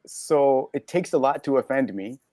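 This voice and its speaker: A man with a Canadian accent, a podcast guest telling personal stories candidly, halting and correcting himself in an animated way. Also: mid-range voice, dry recording. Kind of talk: candid personal storytelling in conversation.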